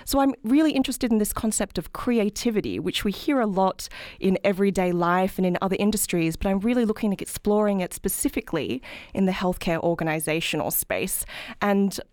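A woman's voice: mid-range voice, brisk, darkish tone, no echo, clean studio sound. A woman in her thirties with an Australian accent, explaining her research as an interview guest. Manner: articulate, explanatory, frequent "sort of". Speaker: guest, healthcare management researcher. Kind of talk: interview answers.

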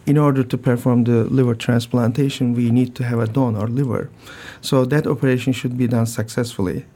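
An older man with a Turkish accent speaks in a gravelly, mellow voice. He answers radio interview questions haltingly, restarting sentences, filling pauses and often clearing his throat. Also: medium pitch, steady tone. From Turkey. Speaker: transplant surgeon guest.

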